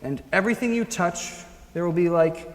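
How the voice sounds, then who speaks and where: A man's voice: high voice, moderate pace, close to an everyday voice. A man playing an awkward, earnest, kindhearted teenage boy in a stage musical, speaking hesitantly and rambling with stammers. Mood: flustered, searching. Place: school auditorium staged reading. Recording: echoey auditorium, piano music behind.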